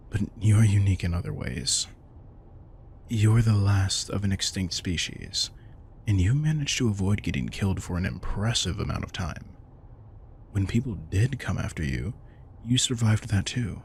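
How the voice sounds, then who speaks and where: A man playing a protective, wry and tender vampire king in an intimate audio roleplay, soft-spoken and casual. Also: sits low, creaky, a little hiss or hum. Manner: soft-spoken, casual, reassuring, occasionally swearing. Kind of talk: intimate audio roleplay, one-sided dialogue.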